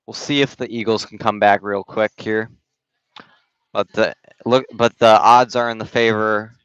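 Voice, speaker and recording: A young man doing amateur play-by-play for a volleyball game over a headset, his voice slightly raspy, casual and halting with restarts. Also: lowish voice, fairly even intonation, fairly bright tone, dry recording. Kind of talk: amateur sports commentary. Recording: dull, dry gym-stream audio.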